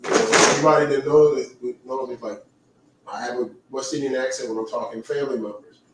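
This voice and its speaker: A man's voice: mid-range voice, fairly even intonation, slight background noise. A man who talks in loose, teasing asides, ribbing his company and drifting between topics. He sits back from the microphone, so his voice sounds distant. The room adds a heavy echo. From Caribbean. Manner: teasing, talkative, quick asides. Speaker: livestream host. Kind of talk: casual chat. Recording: echoey room, away from mic.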